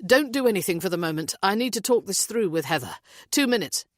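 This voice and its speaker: A woman's voice: mid-range voice, lively intonation, fast talker, dry recording, studio quality, lightly acted. Woman reading a shaken man, clipped and urgent.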